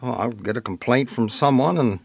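An actor playing a weary, cautious small-town sheriff in a western audio drama, reasoning hesitantly. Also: male voice, medium pitch, normal speed, creaky, a little mellow, breathy edge, dry recording. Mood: resigned, cautious. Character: resigned, cautious male small-town Old West sheriff.

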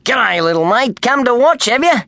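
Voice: mid-range voice, sing-song, normal speed, bright, forceful tone, dry recording, pitched above the performer's own voice. A storybook reader voicing a friendly, ragged Australian workman who moves houses, cheerful and matter-of-fact. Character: friendly ragged grown man, house-moving worker.

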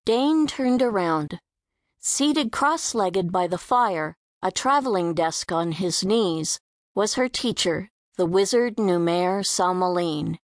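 Female audiobook narrator, lower voice, slow measured delivery, very dry sound. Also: animated, no echo. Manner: measured, careful reading. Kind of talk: scripted storybook narration.

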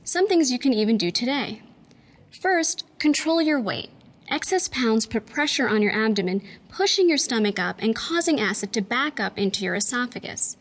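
A woman doctor giving scripted health advice in a clear, steady, instructional way. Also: on the high side, moderate pace.

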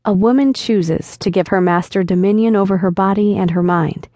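A woman narrator voicing a flirtatious, self-assured man in an audiobook, with smooth, teasing delivery. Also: mid-range voice, normal speed, warm tone, no echo, clean studio sound, close to an everyday voice. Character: flirtatious confident man chatting online. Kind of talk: audiobook dialogue reading.

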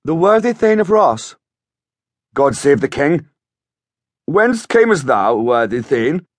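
A man plays a regal, commanding monarch in an audiobook Shakespeare drama. He speaks slowly and deliberately, with wide swings of pitch, in a dry recording. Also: very animated, no echo, exaggerated voice. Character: stately, commanding king, generous to his warriors.

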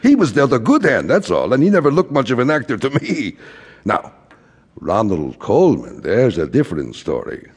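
A man's voice: medium pitch, wildly swooping pitch, moderate pace, a little mellow, husky, exaggerated voice. An actor playing a wistful, middle-aged immigrant father who philosophizes and repeats himself in an audio drama. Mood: wheedling, musing. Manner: rhetorical, repetitive, philosophizing. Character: wistful, rambling immigrant father, a frustrated commercial traveler. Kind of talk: theatrical audio drama acting. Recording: reverberant hall stage recording.

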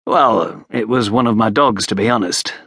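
Man voicing a bluff, hearty country lord, husky. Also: lowish voice, normal speed, a bit bright, no room sound, studio quality, exaggerated voice. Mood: bluff, welcoming. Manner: bluff, informal, colloquial. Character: hearty short broad lord, hospitable and plain-spoken. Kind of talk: audiobook character voice.

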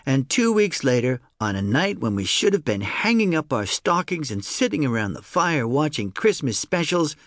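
A man narrating a children's story in the first person, in an expressive, conversational storytelling manner. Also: on the high side, normal speed, few pauses, dry recording, clean studio sound. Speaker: audiobook narrator.

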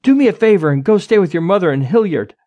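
A panicked, loving husband on the run in an audiobook thriller, played by a male narrator. He speaks in halting, urgent stammers, with a raised, very mobile pitch. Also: big pitch swings, breathy edge, no room sound, dull audio, exaggerated voice. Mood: distraught, urgent. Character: hunted, distraught husband and father, loving but panicked.

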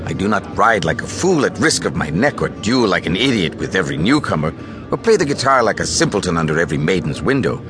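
A foppish young caballero, bored with everything and sure of his superior birth. His fairly low voice glides through wide inflections with fastidious condescension, in a radio-play style with music behind. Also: big pitch swings. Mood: offended hauteur. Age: 20s. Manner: condescending, fastidious, world-weary. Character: languid, haughty young aristocratic caballero, foppish and easily bored. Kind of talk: audio drama acting.

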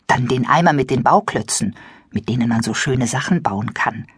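A woman narrating a children's audiobook with expressive, scripted storytelling. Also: very deep voice, moderate pace, breathy edge, dry recording, studio quality.

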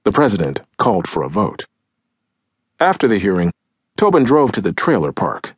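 A man narrating a nonfiction audiobook in a measured, deliberate, dramatic way, with a husky voice, recorded close in a dry studio. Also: lowish voice, huge pitch swings, no echo. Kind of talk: scripted nonfiction audiobook narration, acting out quotes.

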